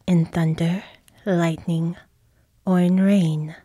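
A woman playing an eerie witch in a Shakespearean radio drama, chanting in a drawn-out way. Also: on the low side, little pitch movement, mellow, dry recording, clean studio sound. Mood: eerie, incantatory. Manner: slow, drawn-out incantation. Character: eerie female witch plotting in unison with sisters.

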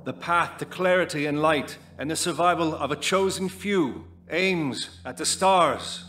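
An actor playing a grave, oratorical doomsday cult leader in an audio drama monologue. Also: male voice, on the high side, measured pace, bright, forceful tone, a little hiss or hum. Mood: grave, ominous. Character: solemn doomsday cult leader preaching end times.

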